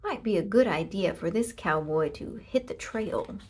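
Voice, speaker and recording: A woman's voice: medium pitch, expressive, normal speed, a little mellow, breathy edge. A woman voicing a small, folksy male cowboy burglar from a children's storybook, with sing-song calls and drawling asides. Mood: folksy musing.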